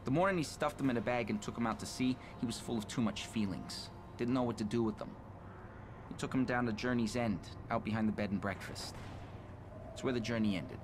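An actor playing an earnest, awkward young small-town deputy in a video game, with an American accent and a halting, plain-spoken manner. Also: male voice, mid-range voice, music in the background, lightly acted. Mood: earnest, troubled.

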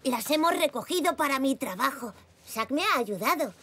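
Eager, high-voiced little girl zebra. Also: lively intonation, normal speed, sharp tone. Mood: eager, friendly. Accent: Castilian Spanish. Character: eager young girl zebra, helpful and curious schoolgirl. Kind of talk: children's animated series dub.

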